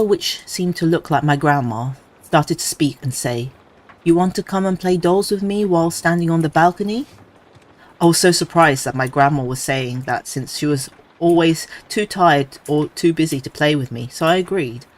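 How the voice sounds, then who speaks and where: A man with a somewhat high voice reads creepy stories aloud to his stream. He keeps a relaxed, chatty manner and drops casual asides between passages.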